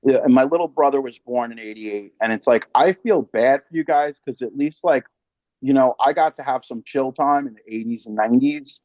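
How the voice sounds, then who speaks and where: A man's voice: mid-range voice, brisk, no echo. An American man in his forties hosting a casual interview, rambling in slangy, conversational language, on slightly dull audio. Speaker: podcast host, interviewer.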